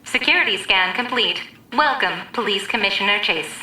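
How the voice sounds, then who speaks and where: A woman voicing a polite, impersonal security computer in an audio drama, speaking in a formal, measured, automated way. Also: mid-range voice, expressive, bright, forceful tone, reverberant, low-quality recording.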